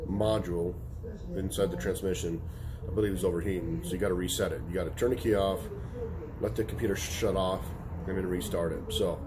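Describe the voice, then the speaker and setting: A man, an auto mechanic, hoarse from a head cold, giving a plain, matter-of-fact update on a repair. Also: low-pitched.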